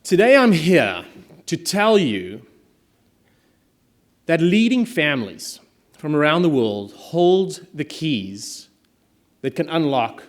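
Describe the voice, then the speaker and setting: A middle-aged South African man, raised speaking Afrikaans, delivers a prepared keynote with a somewhat raised voice. He speaks in a measured, emphatic way, leaning on key words and pausing deliberately between phrases. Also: on the high side. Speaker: conference speaker.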